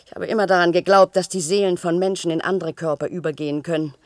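A woman's voice: lowish voice, steady tone, quick pace, darkish tone, no echo, clean studio sound, natural voice. An actress playing a conciliatory, dreamy and slightly muddled housewife in a German radio play, hesitant and musing as she talks.

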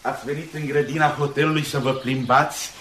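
Actor doing polite old estate worker guy, radio play, humble, deliberate, respectful. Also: on the high side, steady tone, fairly bright tone, natural voice. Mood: respectful, reminiscing. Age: older. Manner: deferential, slow, deliberate. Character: humble, courteous old former estate labourer. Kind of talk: Romanian radio theatre acting.

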